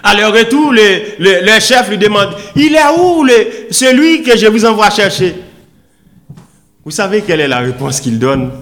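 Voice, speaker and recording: Guy preaching a sermon, high voice that swings up and down a lot, emphatic with big pauses. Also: big pitch swings, fairly bright tone. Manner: oratorical, emphatic, with deliberate pauses and repetition. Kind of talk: sermon with scripture readings and rhetorical questions.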